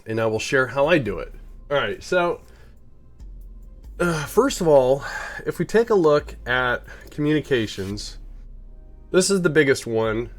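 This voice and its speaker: A man's voice: medium pitch, expressive, measured pace, pauses often, faint music behind. Man with a head cold streaming a game. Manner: rambling, self-correcting, chuckles at himself. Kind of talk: casual live-stream commentary.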